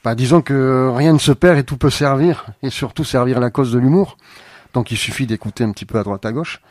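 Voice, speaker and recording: A man guesting on a radio show, answering thoughtfully with frequent pauses and fillers. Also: medium pitch, darkish tone, dry recording, studio quality. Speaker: playwright guest. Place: radio studio.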